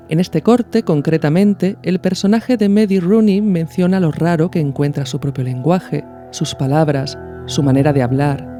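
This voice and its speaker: A woman podcast host with a soft, dark-toned voice. She explains in measured, didactic phrases from a script, with faint music behind her. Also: lowish voice.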